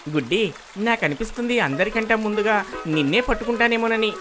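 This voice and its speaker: A performer voicing a lively, boastful cartoon boy. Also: medium pitch, a little fast, music in the background, lightly acted. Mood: playful, boastful. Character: playful, boastful young boy leading a water game. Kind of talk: children's cartoon story dialogue.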